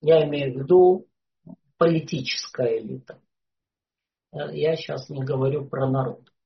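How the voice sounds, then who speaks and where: A lecturer giving an unscripted talk, hesitating often and filling pauses with drawn-out "uh" sounds. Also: medium pitch, vocal fry, a little hiss or hum. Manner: hesitant, frequent "uh" fillers. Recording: dull lo-fi recording.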